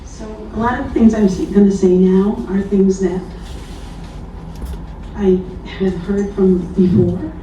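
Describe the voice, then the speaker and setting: Woman with a dark, flat voice. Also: mid-range voice, slow pace, slight background noise. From New Lebanon, New York. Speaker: public commenter. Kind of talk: reading a prepared public comment. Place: town hall meeting room. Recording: echoey distant lo-fi hall recording.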